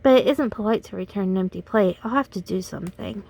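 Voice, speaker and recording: A woman voicing a cheerful, literal-minded grown housekeeper in a children's storybook reading, bright and sing-song. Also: mid-range voice, quick pace, vocal fry. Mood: puzzled.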